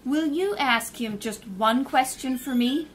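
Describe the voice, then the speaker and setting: An actress playing a poised young woman sleuth in a 1930s-style radio drama, polite and quick-witted, then indignant. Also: high-pitched, a little hiss or hum. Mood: charming.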